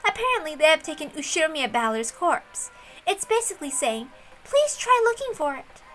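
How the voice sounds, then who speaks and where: Smug young female sleuth, voiced by a woman, high-pitched, scornful and falsely courteous, music underneath. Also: big pitch swings, normal speed, fairly bright tone. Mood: wry, scornful.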